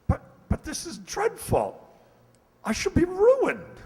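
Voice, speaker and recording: An actor playing a flustered, money-worried English lord in a radio play, husky and stammering with exclamatory bluster. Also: male voice, very high-pitched, huge pitch swings, takes it slowly, a little mellow, exaggerated voice. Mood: flustered, dismayed. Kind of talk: old-time radio drama acting. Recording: echoey hall, long reverb.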